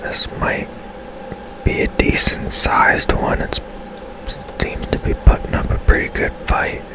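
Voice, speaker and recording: A man speaking in a breathy, unvoiced whisper, giving casual, unhurried ASMR commentary as he plays a game. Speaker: gaming ASMR host.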